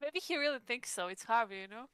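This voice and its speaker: Female tabletop player, relaxed banter, clear resonant tone, no room sound. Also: a little high, quick pace, no echo. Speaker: player in a tabletop roleplaying stream. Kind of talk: casual in-character game chat.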